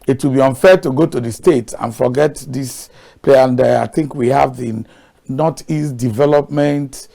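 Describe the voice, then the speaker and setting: A man's voice: mid-range voice, normal speed, creaky, dark tone. A man with a Nigerian accent, speaking as a guest on a talk show in an emphatic, repetitive way with folksy phrasing.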